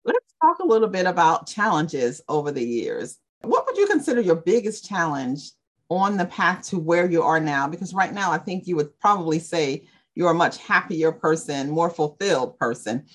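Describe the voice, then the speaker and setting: A woman hosts a conversation, affirming what she hears and asking thoughtful questions. Her voice is low and slightly husky. Her pitch swings widely as she speaks. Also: big pitch swings, moderate pace. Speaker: podcast host. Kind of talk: interview conversation.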